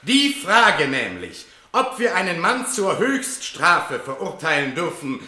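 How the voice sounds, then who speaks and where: Theatrical, sharp-voiced defence lawyer. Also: male voice, sits high, exaggerated voice. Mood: impassioned pleading. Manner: rhetorical, emphatic in court, dry and measured in private. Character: eloquent, theatrical male defence lawyer, shrewd and courteous. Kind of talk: German radio play acting.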